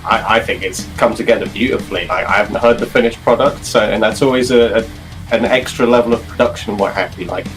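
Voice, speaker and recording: A man's voice: lowish voice, fast, poor audio. Effusive British man talking over music. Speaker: podcast co-host and game master. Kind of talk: casual chat.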